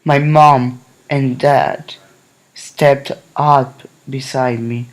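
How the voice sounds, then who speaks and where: A man reading a children's picture book aloud in a solemn, deliberate way, with dramatic pauses. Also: medium pitch, steady tone, poor audio. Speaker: storybook reader.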